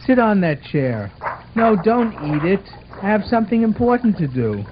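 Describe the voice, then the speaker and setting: A man's voice: high voice, sing-song, moderate pace. A man voicing an earnest, orderly twelve-year-old boy in a scripted puppet show, explaining things in a bossy, affectionate way. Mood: scolding. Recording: muffled amateur recording with watery noise.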